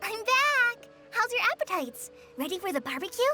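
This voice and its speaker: A woman voicing a small, excitable childlike fairy companion with a squeaky voice in a video game. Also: moderate pace, faint music behind, heavily acted. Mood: cheerful, eager. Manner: refers to self in third person, expressive. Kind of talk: animated video game voice acting.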